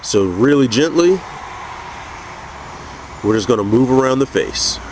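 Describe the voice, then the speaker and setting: A man's voice: mid-range voice, unhurried, slight background noise, low-quality recording. Stuffy-voiced man teaching figure painting. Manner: calm, methodical, with frequent restarts. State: stuffy, congested from a cold. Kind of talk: painting tutorial narration.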